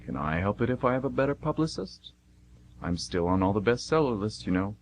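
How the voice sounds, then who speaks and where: A man's voice: lowish voice, expressive, a little fast. A man playing God as a smug, jovial, teasing deity in a comedic amateur radio play, breezy and fond of puns. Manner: breezy, self-satisfied, punning. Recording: noisy amateur radio recording with hum.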